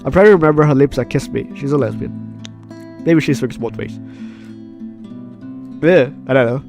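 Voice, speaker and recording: A man's voice: medium pitch, moderate pace, creaky, darkish tone, soft background music. A man hosting a casual let's play, reading game text aloud with commentary and often stumbling over and repeating phrases. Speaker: gaming video host.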